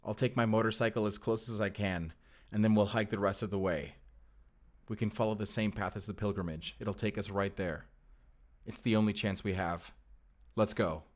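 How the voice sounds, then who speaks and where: A man's voice: lowish voice, steady tone, normal speed, close to an everyday voice. A man playing a wary, protective vampire slayer in an audio roleplay, speaking closely to the listener. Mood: determined. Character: mysterious Spanish male vampire slayer, earnest, protective, wary. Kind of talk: cinematic audio roleplay acting.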